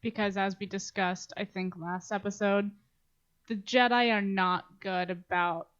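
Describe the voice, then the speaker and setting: A woman's voice: mid-range voice, steady tone, a little slow, a bit bright, smooth. Casting: female podcast co-host, mid-range voice, loose conversational delivery, slightly muffled recording. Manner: informal, swearing. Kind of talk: casual discussion.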